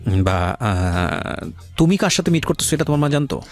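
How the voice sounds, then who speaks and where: A man's voice: mid-range voice, very animated, moderate pace. A man with a Bangladeshi accent hosting a radio interview and commenting, mixing English phrases into his speech. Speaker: radio host. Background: loud background music.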